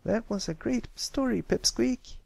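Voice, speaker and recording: A man's voice: extremely high pitch, a little slow, vocal fry, darkish tone, no echo, pitched above the performer's own voice, full character voice. A man voicing a cheery, friendly young filly pony with an encouraging manner, in a storybook reading. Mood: admiring.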